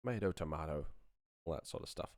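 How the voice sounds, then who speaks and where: A man's voice: extremely low pitch, expressive, fast talker, darkish tone, dry recording, studio quality. A man giving a casual live-stream tutorial as a sound designer, thinking aloud while he works.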